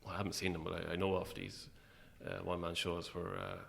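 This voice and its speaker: A man's voice: deep voice, fast talker, vocal fry, a bit bright. A man with an Irish accent talking casually as an interview guest, hesitant and dryly self-deprecating.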